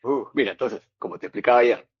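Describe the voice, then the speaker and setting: A man's voice: mid-range voice, fast, slightly rough, dry recording, lo-fi sound. A man from Peru with a Peruvian Spanish accent, a dental technician casually hosting a live demo, friendly and laughing often. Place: training academy in Spain.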